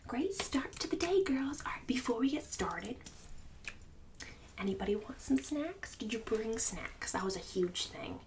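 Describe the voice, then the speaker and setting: A woman playing a snobbish, bossy book-club mom in an ASMR roleplay, mostly in a breathy whisper with fussy, passive-aggressive condescension. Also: a little high, animated, a little slow, recorded from a distance, noisy background. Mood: judgmental, smug. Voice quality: breathy whisper and soft speech.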